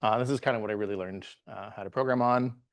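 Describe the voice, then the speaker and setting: A man's voice: fairly low pitch, gravelly. Bahamas-raised guy in his 40s doing a meetup tech talk, laid back, lots of uhs and restarts. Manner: relaxed, halting, many "uh" fillers and restarts. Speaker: guest presenter, software engineer.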